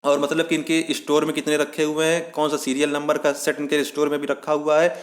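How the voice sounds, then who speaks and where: A man's voice: a little high, fairly quick. A young man presenting an exam-coaching explainer, speaking steadily and restating points in other words. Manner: steady explaining, often rephrasing with "matlab". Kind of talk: explainer on a job profile. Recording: echoey room.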